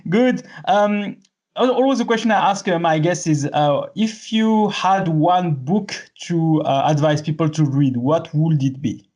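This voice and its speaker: Friendly halting male podcast host. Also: fairly high pitch, darkish tone. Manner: friendly, halting, many fillers and restarts. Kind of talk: podcast interview.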